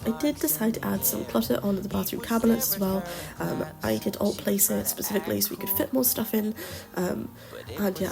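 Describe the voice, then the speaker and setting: A woman's voice: medium pitch, gravelly. A woman with a nasal voice from a cold, rambling chattily with many ums through a casual voice-over over a game build. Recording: music playing behind the voice.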